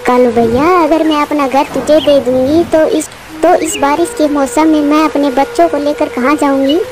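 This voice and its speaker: A woman's voice: high voice, steady tone, normal speed, close to an everyday voice. A woman voicing a poor, devoted mother bird in a children's cartoon, humble and earnest in manner. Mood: distressed, resigned. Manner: earnest, pleading, polite. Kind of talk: Hindi children's cartoon voice acting. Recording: music bed behind the voice.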